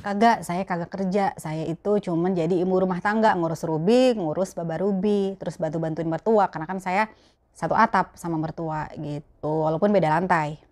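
A woman's voice: lowish voice, normal speed, a little mellow. A young adult woman with a Betawi Jakarta accent, chatting casually and colloquially to camera as a vlogger answering viewer questions. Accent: Betawi Jakarta Indonesian. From Jakarta, Indonesia. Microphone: clip-on lapel mic.

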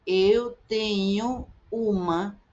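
A middle-aged woman teaching Portuguese, repeating short phrases slowly and with careful articulation for learners. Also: mid-range voice, steady tone, darkish tone, dull audio. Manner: slow, carefully articulated repetition. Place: indoors, webcam in a circle overlay.

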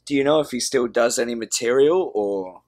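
A man asking brief, measured interview questions. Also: mid-range voice, darkish tone.

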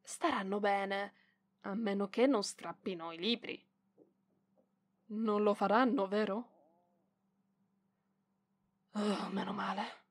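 A woman's voice: medium pitch, slow, long pauses. A woman voicing a proud young librarian in an ASMR roleplay, defensive and bashful, with hesitant stammering. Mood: awkward, kind. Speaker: voice actress.

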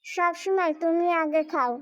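A woman voicing a clever village child in an animated fairy tale, with a childlike manner. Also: very high voice, unhurried. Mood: sly, challenging.

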